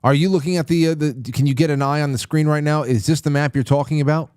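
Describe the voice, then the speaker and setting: A man hosting a call-in talk show who interjects quickly and excitedly. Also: a little high, clear, resonant tone, no room sound, clean studio sound. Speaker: show host.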